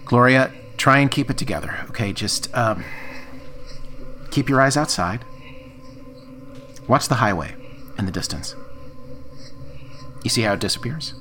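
A man's voice: medium pitch, animated, unhurried, pauses often, music in the background, lightly acted. An actor playing a practical, steadying diner crewman in an audio drama, plain-spoken and a little hesitant. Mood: calm, reassuring. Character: practical, steadying male diner crew member. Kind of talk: naturalistic audio drama acting.

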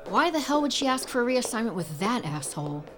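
A woman playing a brisk, technically minded government agent in an audio drama, speaking matter-of-factly. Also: on the high side, very animated. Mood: irritated. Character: brisk, matter-of-fact female tech-savvy agent Rainey.

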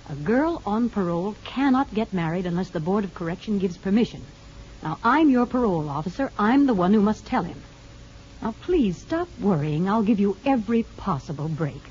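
An actress in a 1950s radio drama playing a firm, sensible parole officer who speaks in a measured, advising way. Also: female voice, mid-range voice, a little mellow, lightly acted. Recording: noisy, dull 1950s radio recording.

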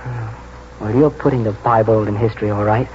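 An actor playing a keen, plain-spoken young English stable lad in a 1940s radio drama, halting and colloquial. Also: male voice, fairly low pitch, steady tone, a little mellow, faint music behind, natural voice. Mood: conspiratorial, earnest. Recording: muffled, noisy 1949 radio broadcast.

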